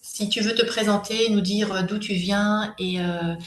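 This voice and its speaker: French lady teaching a live class, takes it slow, lots of euhs, kind of roomy echo. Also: mid-range voice, fairly even intonation, a little hiss or hum. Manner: patient, encouraging, many hesitations. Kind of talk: live online workshop, switching between French and English.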